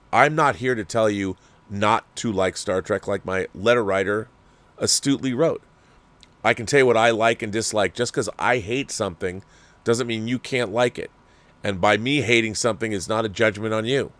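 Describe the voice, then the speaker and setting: An older man who hosts his own channel, giving a rambling, opinionated rant in a casual, animated way. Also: fairly low pitch. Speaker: channel host. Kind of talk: ranting commentary.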